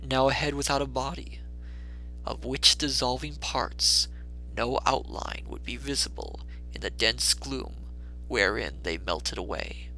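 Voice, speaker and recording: A man reads a classic tale as a dramatic audiobook narrator. His voice sits at a medium pitch and has a very bright, forceful, sharp edge. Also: unhurried, a little hiss or hum, poor audio.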